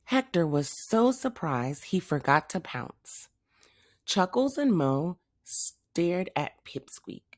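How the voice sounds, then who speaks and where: A woman reading a children's story aloud as a teacher would, with expressive narration. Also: fairly low pitch, slow pace, dry recording, clean studio sound.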